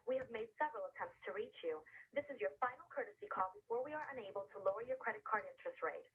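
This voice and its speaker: A woman's voice: medium pitch, animated, quick pace. A woman reading a scripted automated phone message as a robocall announcer. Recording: noisy, dull, quiet phone recording.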